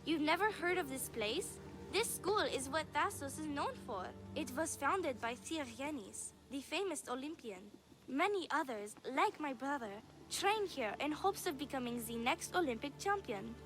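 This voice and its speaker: A woman's voice: high voice, expressive, unhurried, music in the background, rough recording. A woman voicing a worried, earnest young Greek girl in a video game, pleading for help. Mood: informative. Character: worried young Greek girl seeking her missing brother.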